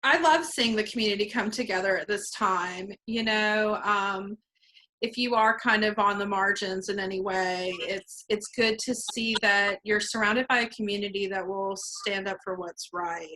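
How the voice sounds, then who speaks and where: A woman hosting a casual interview, warm and enthusiastic, laughing often with her guests. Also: mid-range voice, moderate pace, poor audio.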